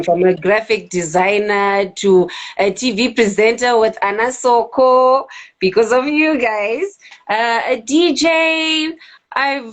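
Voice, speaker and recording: A Zimbabwean woman in her thirties, a club DJ chatting casually as an interview guest, animated and quick to laugh. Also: on the high side.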